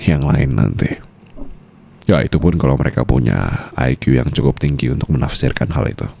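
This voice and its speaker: A man playing a possessive, doting mafia boss husband in an intimate ASMR roleplay, teasing with endearments in a breathy, gravelly voice. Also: mellow, heavily acted. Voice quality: very deep, gravelly. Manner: slow teasing endearments, often slipping into breathy whispers. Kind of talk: ASMR boyfriend roleplay, intimate scripted monologue.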